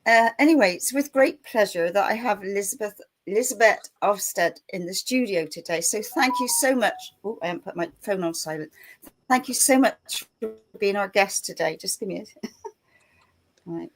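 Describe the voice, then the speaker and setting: A woman's voice: mid-range voice, moderate pace. Chatty woman hosting a talk show. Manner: chatty, laughs often, rambling. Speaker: host. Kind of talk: casual chat.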